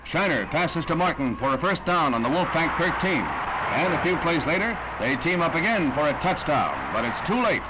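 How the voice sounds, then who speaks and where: A man's voice: fairly high pitch, big pitch swings, normal speed, very noisy. An American man narrating a 1960s newsreel in an emphatic, scripted announcer style. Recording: vintage 1960s newsreel soundtrack, loud music. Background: loud music.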